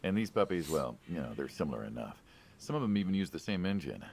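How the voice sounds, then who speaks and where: An actor playing an affable middle-aged mobster in a story game, gravelly, conversational and coaxing, with quiet menace. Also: male voice, low voice, sing-song, fast talker, full character voice. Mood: easygoing. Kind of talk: naturalistic narrative game voice acting.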